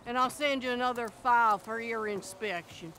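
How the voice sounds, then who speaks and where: A woman's voice: on the high side, sharp tone, slight background noise. An actress playing a folksy, sunny, flirty bail bond agent woman in a video game, talking wordily and without hurry. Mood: cheerful, flirty. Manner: unhurried, sunny, wordy. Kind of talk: video game cutscene acting.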